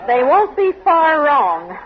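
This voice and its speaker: A worried, scolding wife and mother, with a very high-pitched voice. It is 1940s radio comedy, on a dull recording with heavy noise. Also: sing-song, takes it slowly, some chatter behind, heavily acted. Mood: upset, scolding.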